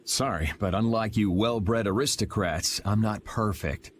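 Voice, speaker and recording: A man's voice: lowish voice, a little slow, slight background noise, close to an everyday voice. An actor playing a sardonic, laid-back young swordsman who talks in a dry way. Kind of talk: video game voice acting.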